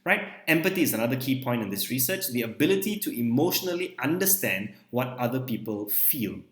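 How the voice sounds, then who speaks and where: Young Malaysian male teacher, native Malay, mid-pitched lecture voice, echoey room. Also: very animated, brisk. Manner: ends points with a questioning "right?". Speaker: psychology teacher. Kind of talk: recorded lecture over slides.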